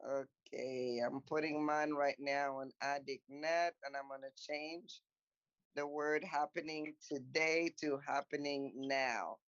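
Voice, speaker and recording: A woman's voice: sits low, steady tone, slow, dry recording. A woman from Congo whose first language is French, chatting as she hosts an online panel. She is encouraging and talks through what she is doing. Her voice comes through a muffled, very dry online line. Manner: chatty, encouraging, thinks aloud while typing. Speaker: host and moderator of an online panel. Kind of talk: casual hosting of an online talk.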